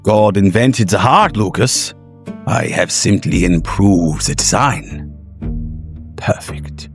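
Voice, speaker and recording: Male performer as fervent middle-aged professor, proud, deliberate, gravelly, wide swings in pitch, audio drama. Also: expressive, soft background music, exaggerated voice. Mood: smug, quiet. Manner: halting, deliberate phrasing with rhetorical tags.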